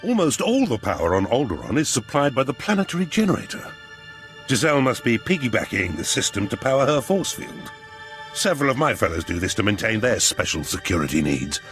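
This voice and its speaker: A man's voice: mid-range voice, very animated, a bit bright, soft background music. Smug, theatrical scheming nobleman. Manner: pompous, drawn-out emphasis. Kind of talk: video game character acting.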